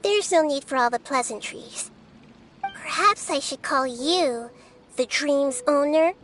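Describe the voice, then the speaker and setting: A woman voicing a small, childlike goddess of wisdom in a video game, with a measured, precise, knowing manner. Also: high-pitched, a bit bright, soft background music. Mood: calm, knowing.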